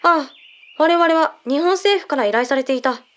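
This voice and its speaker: A woman playing a brisk, matter-of-fact female agent in a radio drama, speaking in blunt, mannish phrasing as she explains. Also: high voice, natural voice. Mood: matter-of-fact, explanatory. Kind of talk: Japanese radio drama acting.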